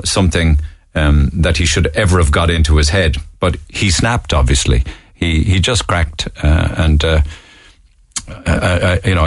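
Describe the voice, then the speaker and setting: An Irish man hosts talk radio into a studio microphone. His voice sits very low and sounds dry and close. He speaks in a measured, earnest way, with plenty of fillers. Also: no echo. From Ireland. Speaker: radio talk show host. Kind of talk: talk radio, reading listener texts and taking calls.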